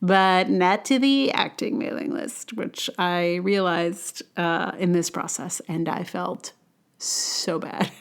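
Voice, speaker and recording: A woman's voice: fairly low pitch, fairly even intonation, takes it slowly. Conversational woman with a wry delivery. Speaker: podcast host and audio drama producer. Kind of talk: personal essay read aloud, then casual aside.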